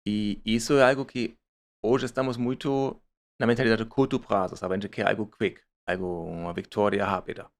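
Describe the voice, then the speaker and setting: A man's voice: on the low side, fairly even intonation, normal speed. A man from Germany speaking with a German accent, a startup founder answering interview questions in a hesitant way, often repeating words and mixing in English. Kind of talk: casual interview talk.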